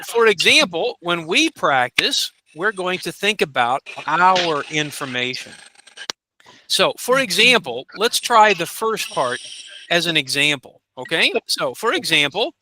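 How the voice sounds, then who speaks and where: A man teaching a basic English class over a video call, patiently modeling phrases slowly for his students. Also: high voice, noisy background. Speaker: ESL teacher. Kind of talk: encouraging classroom instruction.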